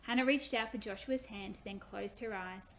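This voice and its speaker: A woman's voice: medium pitch, normal speed, a bit bright, a little hiss or hum. A woman storyteller giving a dramatic reading of a children's book.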